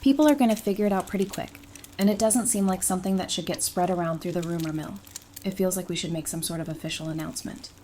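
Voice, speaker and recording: A woman's voice: fairly low pitch, fairly even intonation, fast, dark tone, a little hiss or hum, lightly acted. A woman playing an affectionate, playful newlywed in an audio drama, speaking in a casual, teasing way. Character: affectionate, playful newlywed bride, practical and bright-minded.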